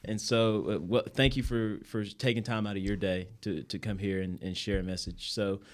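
Man, podcast host, teacher, upbeat, casual, lowish voice, school studio. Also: fairly even intonation, moderate pace. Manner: upbeat hype-man welcomes with fillers.

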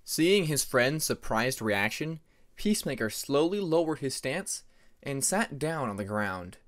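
A man's voice: mid-range voice, sing-song, measured pace, a bit bright. A man narrating a fantasy story as an amateur audiobook reader, in a dramatic scripted style.

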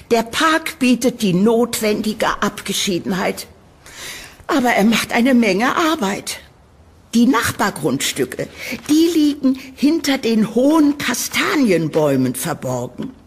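Elderly eccentric landlady for horror radio play: sweetly eerie, unhurried, theatrical, in a reverberant space. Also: fairly high pitch, very animated, breathy edge, full character voice. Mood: evasive, halting. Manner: theatrical, halting, doting, with nervous chuckles. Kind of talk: audio drama acting. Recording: reverberant room, background noise.

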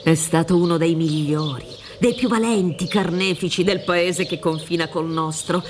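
A woman's voice: lowish voice, moderate pace, slight background noise, natural voice. An older woman acting in a radio drama as a worldly, theatrical defence lawyer, emphatic and admonishing. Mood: proud. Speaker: actor. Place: theatre stage set up for radio play. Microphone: suspended studio microphone with headphones.

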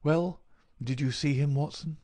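A man voicing an ailing male detective in an audiobook, feeble but lucid, crisp and masterful. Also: on the high side, fairly even intonation, measured pace, warm tone, voice pushed up, close to an everyday voice. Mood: crisp, weak. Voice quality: feeble, slightly raised. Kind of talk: audiobook character voice.

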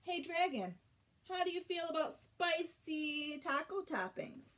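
A woman's voice: very high-pitched, animated, a little slow, fairly bright tone, pitched above the performer's own voice, exaggerated voice. A woman voicing an eager storybook child who asks questions in an exaggerated, sing-song way. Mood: eager, excited.

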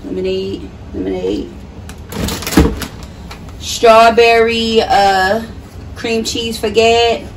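A woman's voice: on the high side, expressive, extremely slow, long pauses. A woman narrating a grocery haul casually, as a home vlogger. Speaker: vlogger, mother. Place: home kitchen, indoors. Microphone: camera mic at a short distance. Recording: distant mic, clearly noisy home recording.

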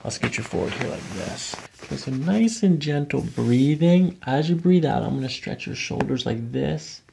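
Middle aged guy, lots of vocal fry, gentle reassuring chiropractor talking a patient thru it, bit of background noise. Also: medium pitch, expressive, moderate pace, poor audio. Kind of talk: calm step-by-step instruction to a patient during treatment. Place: small office treatment room, indoors. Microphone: microphone on boom arm near the table.

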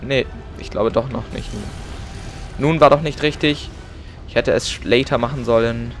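A man's voice: medium pitch, fairly even intonation, moderate pace, slight background noise. A young man casually commenting on his own gameplay, chatty and joking. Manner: chatty, joking, mixes in playful English. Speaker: let's play gamer.

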